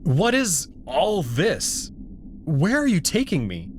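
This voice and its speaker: A man's voice: fairly high pitch, huge pitch swings, takes it slowly, slight background noise, exaggerated voice. A man playing an anxious, indignant human abductee who questions his captor in a visual novel. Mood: annoyed, panicked.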